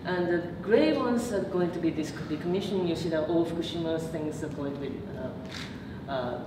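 Low-voiced woman in echoey room. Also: normal speed. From Japan. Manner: hesitant, many fillers and restarts. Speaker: PhD researcher giving a talk. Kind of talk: public lecture with slides. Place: meeting room. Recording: echoey room from far off, noisy.

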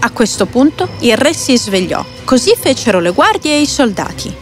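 A woman's voice: fairly high pitch, very animated, normal speed. A woman narrating a scripted fairy tale for children as a storyteller. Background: faint music.

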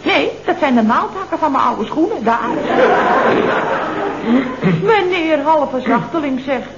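An actress playing a feisty, gushing single secretary who chatters colloquially in a comedy radio play. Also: female voice, sits high, animated, a little slow, over a noisy crowd. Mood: indignant, threatening. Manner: gushing, chatty, full of colloquial tag questions. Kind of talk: 1970s Dutch comedy radio play acting. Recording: muffled, noisy vintage radio recording.

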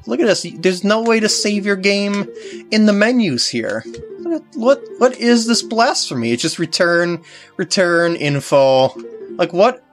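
Animated, rambling game walkthrough host. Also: medium pitch, measured pace, soft background music. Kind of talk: casual gameplay commentary.

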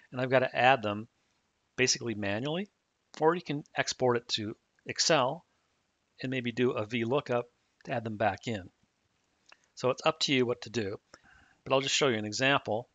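A man calmly explaining software setup step by step in a screen-recorded tutorial. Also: mid-range voice, no room sound. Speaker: software trainer. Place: at a computer, voice-over on a screen recording. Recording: dull dry mic audio.

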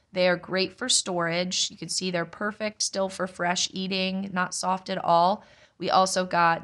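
A young woman, a mother, casually narrating a home cooking vlog in a relaxed, explanatory way. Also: lowish voice. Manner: relaxed, explanatory, chatty with light laughs. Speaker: homestead vlogger, mother.

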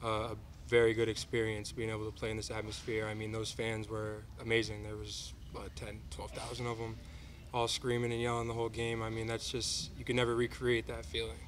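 A man's voice: fairly low pitch, monotone, a little fast, hardly any pauses, a little hiss or hum. A young man, a college baseball player, answering press questions at a table mic in a plain way. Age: young adult.

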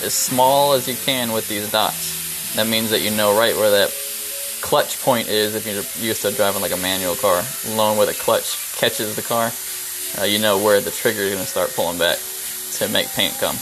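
A young man casually explaining airbrush technique while painting in a small brick-walled studio, with relaxed ums along the way. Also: on the low side, rough recording. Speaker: airbrush artist. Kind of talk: casual live-stream tutorial while painting. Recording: music playing under the voice. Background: music playing, airbrush hiss.

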